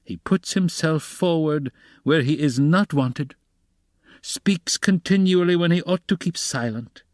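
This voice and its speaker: A man's voice: a little high, measured pace, no echo, studio quality, voice pushed up, natural voice. A man voicing a wily middle-aged investigator in an audiobook, fussily solicitous and slyly mocking, with theatrical, coaxing exclamations.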